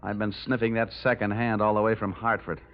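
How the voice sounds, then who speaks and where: An American actor playing a rugged, wisecracking insurance investigator in a vintage radio drama, wry and hard-boiled. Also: male voice, lowish voice, little pitch movement, a little fast, natural voice. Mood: smooth, flirtatious. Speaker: lead actor and narrator. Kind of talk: 1940s-50s radio detective acting. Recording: muffled vintage radio recording with music.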